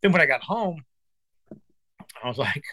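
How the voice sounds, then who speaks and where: A man's voice: fairly high pitch, big pitch swings, normal speed, sharp tone, no echo, studio quality. A man of fifty, a guest musician chatting casually and earnestly, calling his host brother and dude. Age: 50s. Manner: earnest, encouraging, says brother and dude a lot. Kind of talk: casual chat.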